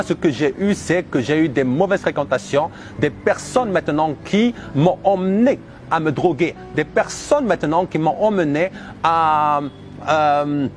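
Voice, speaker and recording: A man in his thirties from Algeria gives a personal religious testimony, speaking earnestly and emphatically in deliberate, repeated phrases. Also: sits high, slight background noise. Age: 30s.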